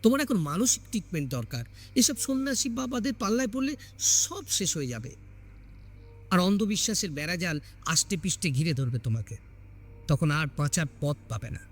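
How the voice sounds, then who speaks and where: A man's voice: sits high, very animated, a little fast, soft background music. A man voicing a protective, skeptical young husband in an audio story, speaking emphatically. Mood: agitated scolding. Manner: emphatic, questioning.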